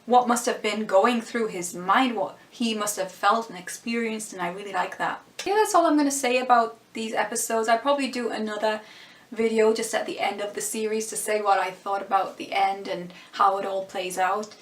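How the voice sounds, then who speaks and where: A woman's voice: mid-range voice, normal speed, roomy sound. A woman vlogger talking through her thoughts on a TV series, musing and restarting her sentences, with a voice she says is going. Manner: thoughtful, musing, frequent restarts and small laughs. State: losing her voice. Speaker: vlogger reviewing a TV show. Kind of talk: casual vlog commentary.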